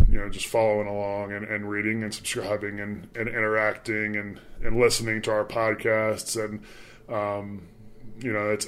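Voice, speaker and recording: A man's voice: on the low side, a bit bright. A young man with a mild Southern accent, chatting loosely on a podcast with many fillers and restarts. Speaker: departing sports reporter. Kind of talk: casual podcast chat.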